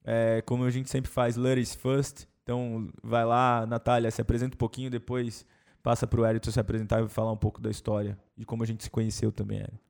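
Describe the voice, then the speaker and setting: Male host, gravelly voice, mid pitch, casual interviewer who recaps answers. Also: monotone, fast, darkish tone. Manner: prompting, summarizing, hesitant.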